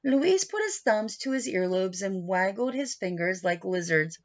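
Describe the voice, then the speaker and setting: A woman reading a picture book to young children like a teacher, pausing to explain and put questions to her listeners. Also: medium pitch, expressive, muffled recording. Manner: instructive, questioning, addresses young listeners directly.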